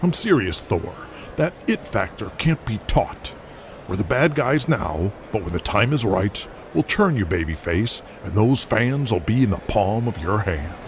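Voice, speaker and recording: A man's voice: on the low side, very animated, measured pace. A man playing an aging villain pro wrestler, a persuasive veteran with a conversational manner, in an audio drama. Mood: encouraging. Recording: noisy urban ambience behind the voice.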